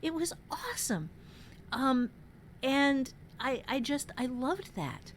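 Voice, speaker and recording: A woman's voice: high voice, big pitch swings, slow pace, slight background noise. A woman giving casual, conversational writing advice as a podcast host, emphatic and repeating words for stress.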